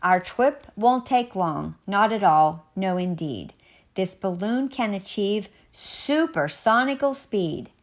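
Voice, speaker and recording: A woman's voice: medium pitch, expressive, takes it slowly. A woman voicing a jolly, bustling old man who flies a balloon, with an exuberant, declamatory manner in rhyme, as in a storybook. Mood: proud, boastful.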